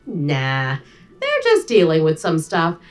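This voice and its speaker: A woman's voice: low voice, huge pitch swings, slow, heavily acted. A woman voicing a wry, easygoing ghostly mentor in a visual novel game. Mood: reassuring.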